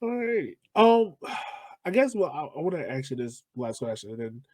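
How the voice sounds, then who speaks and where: A man's voice: on the high side, big pitch swings, normal speed, a little mellow, no echo. A man hosting a sports podcast, asking questions in a casual, conversational style. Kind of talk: casual interview.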